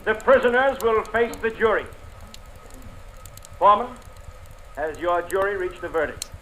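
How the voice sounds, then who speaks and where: An actor playing a formal, stern, authoritative judge in an old radio courtroom drama. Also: male voice, high-pitched, lively intonation, unhurried. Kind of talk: 1950s radio drama acting. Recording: noisy old radio recording, clicks.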